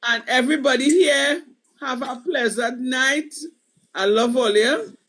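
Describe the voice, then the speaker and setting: A woman's voice: high-pitched, unhurried, a bit bright. A woman in her eighties with a Trinidadian accent, hosting a live stream and chatting casually, rambling and repeating her words. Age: 80s. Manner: rambling, repeats words, affectionate sign-offs. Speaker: livestream show host.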